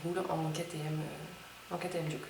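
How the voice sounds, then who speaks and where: A woman in her early thirties, a motorcyclist giving casual, measured interview answers. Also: deep voice, little pitch movement, vocal fry, background noise. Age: 30s. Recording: roomy, distant mic.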